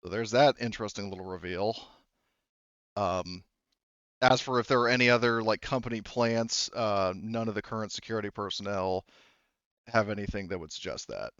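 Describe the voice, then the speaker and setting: Low, smooth-voiced man running a game. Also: moderate pace, a bit bright, no room sound, lo-fi sound. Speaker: game master. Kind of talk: casual improvised narrating of a tabletop game.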